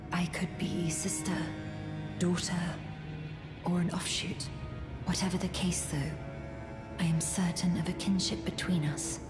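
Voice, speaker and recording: A woman playing a solemn, earnest young warrior in a video game, speaking in a measured, formal, sincere way. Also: fairly low pitch, natural voice. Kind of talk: video game character acting. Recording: roomy echo, music behind.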